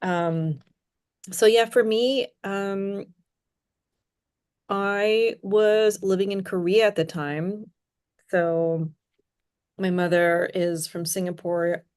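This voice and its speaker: A woman's voice: medium pitch, steady tone, takes it slowly, long pauses, darkish tone, clean tone. A woman from Hong Kong hosting a conversational interview, reflective and full of "you know" and "right?". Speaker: podcast host.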